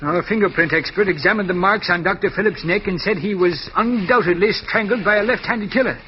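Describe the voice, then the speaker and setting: Radio mystery cop, played by a man: eager, blustering, stammers when caught off guard, high voice, hissy vintage recording. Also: brisk, lightly acted. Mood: blustery, confident. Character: blustery, eager police lieutenant. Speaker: police lieutenant in a radio mystery. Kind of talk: 1940s radio acting. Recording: muffled, noisy old radio recording.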